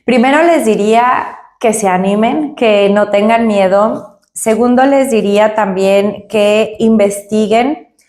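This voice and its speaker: A woman's voice: mid-range voice, slow pace, a little mellow, echoey room. A woman, a company director, answering interview questions and explaining her points with analogies and frequent tag questions. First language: Spanish.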